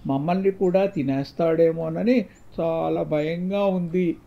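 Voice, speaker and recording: A man's voice: on the high side, steady tone, measured pace, creaky. A man voicing a frightened villager in a storybook tale. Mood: fearful, explaining. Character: frightened male villager warning of a demon. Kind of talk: storybook dialogue. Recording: dull, muffled lo-fi sound.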